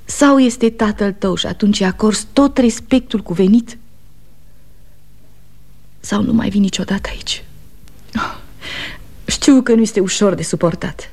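A woman's voice: mid-range voice, long pauses, darkish tone, close to an everyday voice. An actress playing a weary, fiercely loyal aging wife and mother, pleading and reproachful, in 1960s radio theatre style. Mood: stern pleading.

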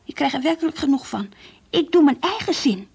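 Earnest, high-voiced devout Dutch teenage girl. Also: animated, a little fast, vocal fry, a little mellow. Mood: stubborn. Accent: Netherlandic Dutch. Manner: earnest, dreamy, stubborn. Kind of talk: Dutch radio play acting, diary monologue and dialogue. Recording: faintly hissy old radio play recording.

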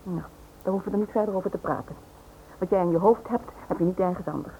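An actress playing a shaken but strong-willed wife and mother in a radio drama, sharp-tongued and reproachful. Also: fairly low pitch, quick pace, natural voice. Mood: indignant, reproachful. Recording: noisy, tinny old radio recording.

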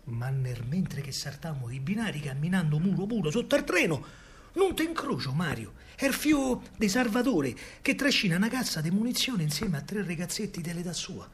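An actor playing an excitable working-class man with a Roman accent and a husky voice, recounting wartime scavenging in a colloquial theatrical monologue. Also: sits high, brisk, slight background noise. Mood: excited. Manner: animated storytelling, colloquial.

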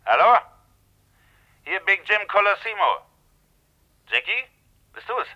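An actor in a German radio play playing a menacing, mocking American gangster rival, cold and commanding through a telephone filter. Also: male voice, high voice, big pitch swings, slow pace, bright, forceful tone, dull audio, full character voice. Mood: scornful.

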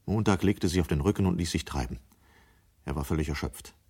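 A man's voice: sits low, brisk, a little mellow, husky. A man narrating a scripted radio play in German as its storyteller.

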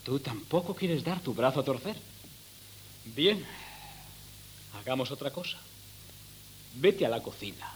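A man's voice: mid-range voice, animated, a little slow, pauses often, natural voice. Radio play casting: confident, teasing grown son, played by a male actor, coaxing, swooping intonation, hissy old tape. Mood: coaxing, bossy. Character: self-assured, teasing grown son managing his parents. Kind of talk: 1970s radio drama acting. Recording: noisy old cassette radio recording with hum.

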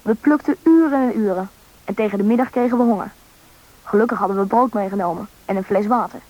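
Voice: mid-range voice, fast talker, close to an everyday voice. A performer playing a young boy who narrates his own story in a scripted radio drama. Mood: reflective. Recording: dull, band-limited radio recording.

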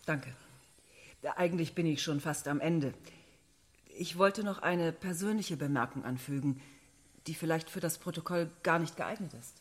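A female scientist in a radio play, with a lowish, breathy voice. She is earnest and slightly hesitant, with a quiet sense of wonder. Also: moderate pace. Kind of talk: radio drama acting.

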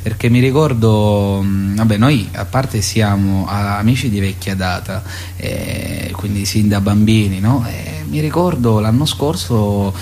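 A man's voice: fairly low pitch, unhurried, darkish tone, slight background noise. A man speaking spontaneously in a radio interview as a theatre writer and director, often hesitating as he searches for words. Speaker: theatre author, director and actor.

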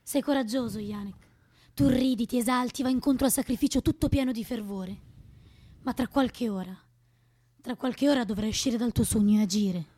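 A woman's voice: fairly high pitch, normal speed, dark tone, husky, no room sound. An actress playing a grave, compassionate revolutionary woman, speaking solemnly and searchingly in a radio drama. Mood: grave, tender. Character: grave, compassionate female revolutionary, doubtful about killing. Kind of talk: theatrical radio drama acting.

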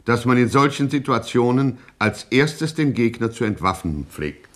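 Male narrator, mid-pitched, formal, mock-epic, scripted satirical radio drama storytelling. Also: lively intonation, normal speed, a bit bright.

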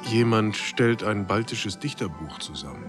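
A man acting as the grave narrator of a literary radio drama, speaking in a measured, solemn way. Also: lowish voice, expressive, music in the background, close to an everyday voice. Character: grave male narrator of a sombre literary tale.

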